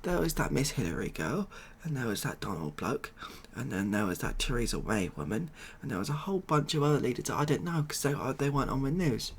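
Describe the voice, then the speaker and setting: Creaky-voiced British man, hesitant and deadpan. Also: mid-range voice, quick pace, few pauses, a little hiss or hum. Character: glum, overwhelmed ex-builder made president of the world. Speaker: ASMR roleplay performer. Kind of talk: soft-spoken ASMR comedy roleplay monologue.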